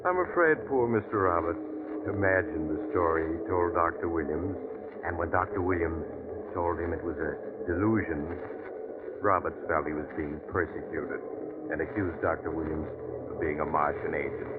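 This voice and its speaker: An actor playing a sinister traveler on a train who narrates to the listener with teasing, confiding asides. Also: male voice, deep voice, moderate pace, close to an everyday voice. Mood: sly. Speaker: narrator host figure. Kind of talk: 1940s radio acting. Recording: tinny, hissy old radio broadcast.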